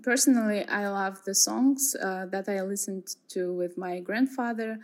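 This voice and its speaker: Young woman, interviewee, calm and explanatory, mid-pitched. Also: unhurried. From Kazakhstan. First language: Kazakh. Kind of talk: answering interview questions.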